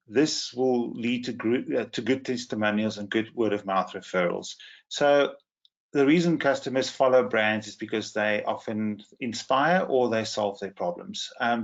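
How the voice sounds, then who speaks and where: Man, low croaky voice, hesitant webinar trainer, muffled call audio. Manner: hesitant, many fillers. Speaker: social media trainer. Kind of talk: webinar presentation. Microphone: video call.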